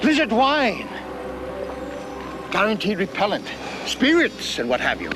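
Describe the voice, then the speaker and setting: An actor playing a jolly, superstitious older country wagoner, folksy, theatrical and full of chuckles, in a television period tall tale. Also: male voice, sits high, wildly swooping pitch, measured pace, background noise, exaggerated voice. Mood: folksy, amused. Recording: muffled VHS with music behind.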